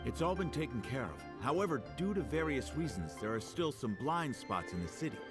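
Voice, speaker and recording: An actor playing a dutiful guard in a video game, reporting to a superior in a formal, respectful way. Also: male voice, mid-range voice, big pitch swings, brisk, lightly acted. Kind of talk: animated video game voice acting. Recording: loud music behind the voice. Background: music.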